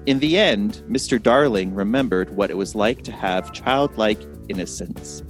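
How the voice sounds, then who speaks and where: A man's voice: on the low side, normal speed, faint music behind. A man hosting a casual podcast, upbeat and chatty, explaining things as he goes. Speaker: podcast host.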